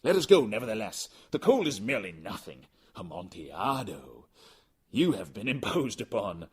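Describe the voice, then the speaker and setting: A man's voice: fairly low pitch, sing-song, normal speed, breathy edge, voice pushed up. A man voicing a drunken, proud Italian wine connoisseur in a storybook reading, exclamatory, boastful and impatient. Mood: boastful, insistent.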